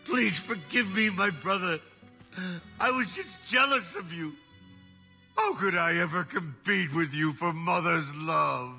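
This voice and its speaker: An actor playing a jealous, grovelling dwarf brother in a video game, begging in a whiny, melodramatic way. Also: male voice, sits high, lively intonation, unhurried, gravelly, sharp tone, slightly rough, heavily acted. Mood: grovelling, pleading. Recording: echoey hall with music behind.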